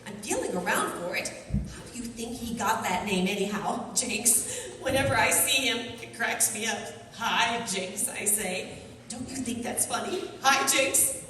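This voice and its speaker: A woman's voice: fairly high pitch, animated, unhurried, bright, forceful tone. A woman playing a chatty, giggly church choir lady in an amateur radio comedy, rambling and exclaiming. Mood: chatty, amused. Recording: echoey hall, distant mic, faint noise.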